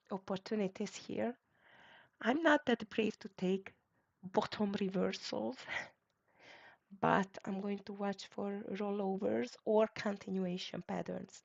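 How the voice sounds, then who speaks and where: A woman's voice: mid-range voice, vocal fry. Hungarian lady calling trades live, short choppy ticker callouts, muffled lo-fi audio. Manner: clipped ticker callouts with fillers. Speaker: live trading stream host. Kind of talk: live market commentary. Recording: dull lo-fi stream audio.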